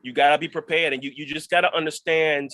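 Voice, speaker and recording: A man's voice: on the high side, fairly even intonation, fast, pushed and bright, no echo. A man talking reflectively about his craft as a guest actor in an interview.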